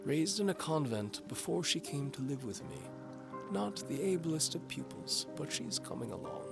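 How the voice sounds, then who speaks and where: A man's voice: on the high side, very animated, normal speed, creaky, over loud music, voice pushed up. A man voicing a pompous, snappish old duke and alchemist in an audio drama. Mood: imperious. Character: stern, haughty old duke and alchemist, male.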